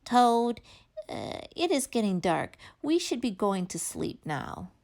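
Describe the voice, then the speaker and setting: A woman's voice: on the high side, very animated, slow pace, gravelly, darkish tone, voice pushed up, exaggerated voice. A woman voicing a kindly, helpful male frog friend in a children's storybook, in an encouraging manner. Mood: gentle.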